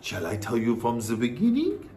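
A man voicing a calm, wise old grandmother in a storybook, speaking softly and reassuringly in a measured, hushed way. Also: medium pitch, slight background noise, voice pushed down. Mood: calmly knowing.

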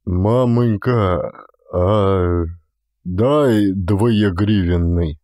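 An actor playing a hulking young Cossack son, pleading with his mother in a coarse, booming drawl for an audio drama. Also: drawn-out words, mellow, no echo, studio quality. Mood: sullen pleading.

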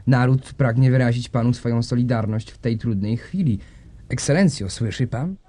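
An actor playing an obsequious secretary of state who speaks in a deferential, flattering manner. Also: male voice, mid-range voice, moderate pace, lightly acted.

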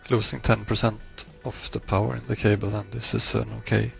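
A man presenting a software tutorial in a measured, halting explanatory way, pausing mid-phrase. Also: lowish voice, level tone, vocal fry, faint music behind. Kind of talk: screencast software walkthrough, explanatory.

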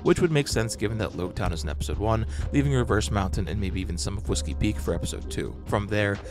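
A man narrating a scripted breakdown as a host, in a measured, explanatory manner. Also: on the low side, lively intonation. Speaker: host of a fan breakdown channel. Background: music.

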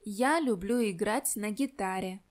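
A woman giving slow, careful scripted example answers in a language lesson. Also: mid-range voice, a little mellow.

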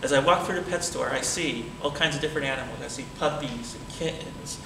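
A middle-aged man telling a comic tall tale live with energetic, theatrical delivery. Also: mid-range voice, fairly quick, gravelly. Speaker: speech contest storyteller. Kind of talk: animated live comic storytelling. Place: indoor meeting hall with small stage. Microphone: far camera microphone. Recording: distant camera, echoey room, background noise.

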